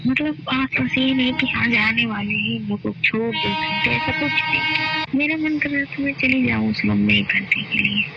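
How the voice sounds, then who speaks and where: A woman's voice: high voice, moderate pace, creaky, loud music behind the voice. A woman in a casual, intimate phone conversation with her partner. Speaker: partner in a private couple's phone call. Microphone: phone call. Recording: very noisy, dull lo-fi phone call recording.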